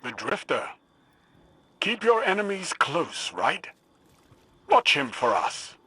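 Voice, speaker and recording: Proud game warlord: forceful, slow and animated, declamatory with dry humour. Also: male voice, fairly high pitch, husky, no room sound, full character voice. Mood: wry. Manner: declamatory, weighty, clipped phrases. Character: proud, grandiose male warlord, dry-humoured battle veteran. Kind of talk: video game cutscene dialogue.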